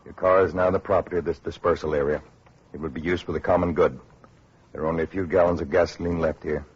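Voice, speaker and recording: A man playing a businesslike official who registers refugees, measured and matter-of-fact, in a 1940s radio drama. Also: deep voice, steady tone, breathy edge. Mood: firm, official. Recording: muffled old radio broadcast recording.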